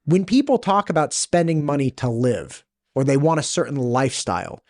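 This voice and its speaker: A man's voice: on the high side, big pitch swings, normal speed, a little mellow, no room sound, clean studio sound. A man chatting casually as a podcast co-host, making his points through everyday personal examples. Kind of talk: casual chat.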